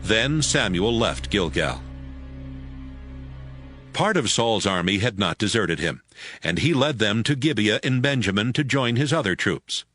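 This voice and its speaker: A man narrating scripture from a script in a measured storytelling manner. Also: on the low side, expressive, a bit bright, faint music behind.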